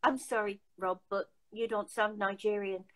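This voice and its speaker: A woman's voice: medium pitch, fairly even intonation, normal speed. An older woman hosting a casual chat over a video call from her home, emphatic and full of restarts. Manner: chatty, emphatic, many restarts. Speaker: host. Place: home room.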